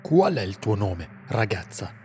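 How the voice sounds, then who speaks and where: A man's voice: fairly low pitch, big pitch swings, unhurried, a little mellow, faint music behind. A man voicing a stern male monster hunter who holds to the law, in an Italian radio drama, with a grave, firm manner.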